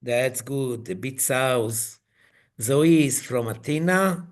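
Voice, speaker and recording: A man leading an online coaching webinar, speaking in slow, halting phrases. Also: mid-range voice. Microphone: video call.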